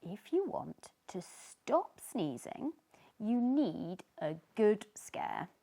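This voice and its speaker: Bossy, helpful tiny storybook fish, played by a woman: encouraging, highly animated delivery. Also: extremely slow, vocal fry, exaggerated voice. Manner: encouraging, coaxing. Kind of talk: children's storybook character voice.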